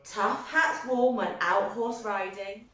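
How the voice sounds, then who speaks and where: A woman's voice: medium pitch, steady tone, slow pace, fairly bright tone. A woman reading a rhyming children's picture book aloud. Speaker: storybook reader. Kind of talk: scripted children's rhyme read aloud. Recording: very echoey roomy sound.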